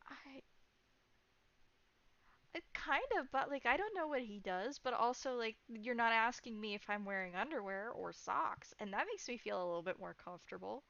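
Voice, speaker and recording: A woman player improvising in-character chat in a tabletop role-playing game, speaking in a halting, informal, conversational way. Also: a little high, animated, gravelly, bright, forceful tone, no room sound, muffled recording.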